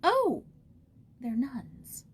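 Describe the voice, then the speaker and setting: A woman's voice: high-pitched, wildly swooping pitch, very slow, a little hiss or hum, voice pushed up, full character voice. A woman voicing an eager, sweet-natured young girl with an earnest, questioning way of talking. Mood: surprised. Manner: earnest, wide-eyed, questioning.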